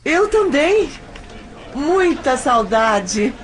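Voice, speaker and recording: A high-pitched, anxious young Brazilian woman, played by an actress, speaks as a jealous lover in an audio drama. Her delivery is slow, halting and emotional, with big swings in pitch. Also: very animated, noisy background, full character voice. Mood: nervous, tender. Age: young adult.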